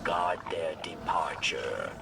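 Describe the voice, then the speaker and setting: An actor voicing a wary old alien insectoid scribe in a video game, gravelly and hissing, with halting, broken phrasing. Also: male voice, a bass voice, animated, recorded from a distance, full character voice. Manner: halting, broken phrasing, speaks of himself in third person. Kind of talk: dramatic video game acting.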